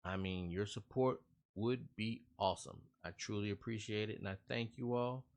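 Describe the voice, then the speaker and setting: A man's voice: on the low side, moderate pace, gravelly. A man hosting a reaction video, chatting casually and earnestly to his viewers, rambling and often checking in with them. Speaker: reaction channel host. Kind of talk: casual talk to viewers after a scary-story reaction.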